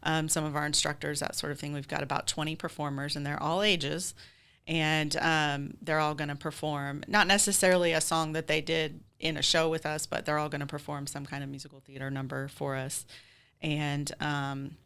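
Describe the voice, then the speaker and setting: A woman's voice: low-pitched, flat delivery, moderate pace, creaky, fairly bright tone, smooth. An American woman chatting casually and informally as a theater director being interviewed, explaining things in a conversational way.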